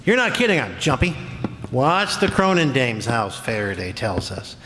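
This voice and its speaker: An actor playing a jumpy, belligerent beat cop with tough, slangy grumbling in an old-time radio drama. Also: male voice, mid-range voice, very animated, moderate pace, music in the background, close to an everyday voice. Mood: restless, belligerent. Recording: noisy reverberant hall stage performance.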